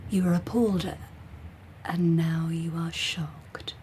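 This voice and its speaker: An actress playing an imperious ghostly empress in an audio drama, hushed and incantatory, with a cold relish for bloodshed. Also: female voice, fairly low pitch, little pitch movement, takes it slowly, slight background noise. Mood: proud, commanding.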